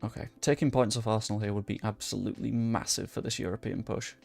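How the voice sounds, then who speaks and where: A man's voice: fairly low pitch, fairly even intonation, fast, gravelly. A young man chatting casually as he plays a football management game, talking to his viewers and cursing under his breath. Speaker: gaming YouTuber. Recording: dry close mic with game music behind.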